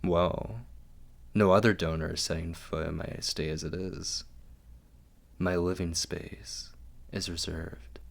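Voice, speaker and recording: A man acts out a refined vampire lord for an ASMR roleplay. He is very low-voiced and gravelly, doting yet fastidious. His formal words come slowly and softly, often sinking toward a whisper. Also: exaggerated voice. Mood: possessive, aloof. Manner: formal, measured, softly coaxing. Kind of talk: ASMR roleplay, intimate scripted monologue.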